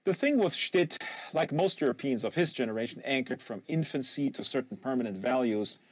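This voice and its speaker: A man narrating literary fiction aloud in an expressive, deliberate manner with emphatic phrasing. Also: medium pitch, no echo. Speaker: audiobook reader hosting a live reading series.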